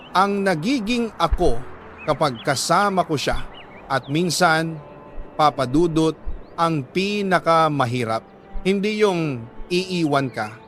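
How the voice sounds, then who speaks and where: A man with a Filipino accent reading a dramatic love story as a radio storyteller, solemn and heartfelt, pausing between short phrases. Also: a little high, a bit bright.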